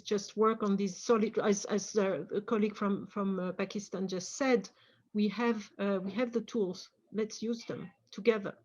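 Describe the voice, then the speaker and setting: A woman speaking as a panelist in an online meeting, making an earnest, persuasive case. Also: mid-range voice.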